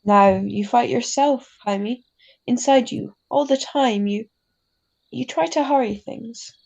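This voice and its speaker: Woman acting a frail, gentle mother, creaky voice. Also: a little high, heavily acted. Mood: tender concern. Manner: tender, halting, philosophical, tags sentences with huh. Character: ailing, gentle, wise immigrant mother. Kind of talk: classic radio drama acting.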